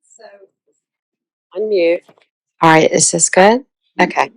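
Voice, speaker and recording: A woman's voice: lowish voice, vocal fry, dry recording, low-quality recording. An older woman from Charleston, an author introducing herself in a big voice with many fillers. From Charleston, South Carolina. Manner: slow, loud, with many fillers. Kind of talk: book talk introduction.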